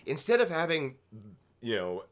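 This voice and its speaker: A man's voice: on the high side, huge pitch swings, normal speed. Emphatic man reviewing TV. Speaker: co-host of a TV episode reaction show. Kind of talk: casual opinionated TV review chat.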